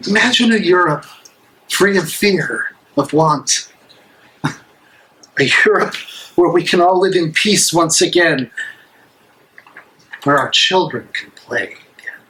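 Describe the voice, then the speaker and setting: An actor playing a resolute German resistance plotter in a stage reading, speaking deliberately with weighty pauses. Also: male voice, fairly high pitch, animated, sharp tone, a little hiss or hum, exaggerated voice, poor audio. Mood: hopeful. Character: fervent, resolute male German resistance conspirator.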